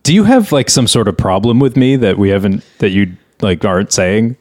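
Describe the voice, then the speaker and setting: A man's voice: lowish voice, lively intonation, normal speed, darkish tone. A man co-hosting a podcast, chatting casually during a screenplay table read. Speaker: podcast co-host.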